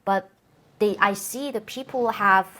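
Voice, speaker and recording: A woman's voice: medium pitch, pushed and bright. Woman speaking careful Korean-accented English. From North Korea. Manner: careful, halting English with frequent restarts. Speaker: host. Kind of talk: conversational interview.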